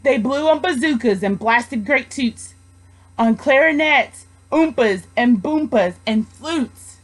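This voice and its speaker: A young woman with a high voice reads a children's story aloud. Her delivery is slow and expressive, following the rhymes. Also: low-quality recording. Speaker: storybook reader, education student.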